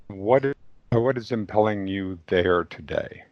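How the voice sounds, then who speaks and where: A man born in Mexico hosting a casual interview, asking questions in a deliberate way with stumbling restarts. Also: lowish voice, dry recording, highs cut off. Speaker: interview host.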